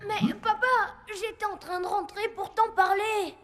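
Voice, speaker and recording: A woman voicing an earnest, stubborn and excitable young boy in a cartoon, with an emphatic, pleading delivery. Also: very high voice, measured pace. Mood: flustered. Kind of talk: animated cartoon dubbing.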